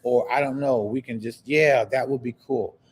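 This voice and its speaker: A man's voice: medium pitch, fairly even intonation, moderate pace, husky. A man with a lisp and a slight stutter, hosting a live online talk show in a casual, motivational way, repeating phrases and reacting to viewer comments. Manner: repetitive, exclamatory, reading viewer comments aloud.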